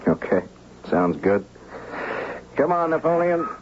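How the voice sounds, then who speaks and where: A man's voice: a little high, sing-song, slow pace, slight background noise. An actor playing a lonely young diver in love, telling his story in a grave, dramatic radio drama style. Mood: casual, affectionate. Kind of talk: first-person radio drama narration and acting. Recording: muffled 1970s radio recording, music bed.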